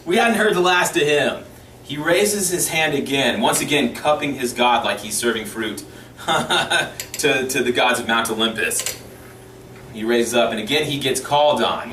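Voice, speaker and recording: A man with a bright, forceful voice tells a comic story with a mock-serious delivery and dramatic pauses. He sits some way from the mic in a roomy space, with faint noise behind him. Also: a little high. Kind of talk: animated comic storytelling to camera. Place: small indoor room, seated before camera. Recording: roomy, distant mic with background hiss.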